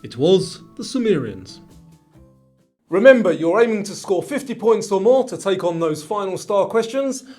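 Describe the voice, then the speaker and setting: A man hosting a quiz, reading scripted questions and instructions to the player in a clearly enunciated presenter's delivery, with music behind him. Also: high-pitched, very animated, normal speed, darkish tone. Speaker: quiz show host. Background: background music.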